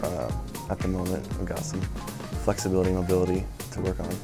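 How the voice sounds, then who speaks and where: A man's voice: low-pitched, flat delivery, fast talker. A young man from Alaska, a college swimmer giving casual interview answers. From Alaska, United States. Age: college freshman, about 18. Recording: music playing behind the voice.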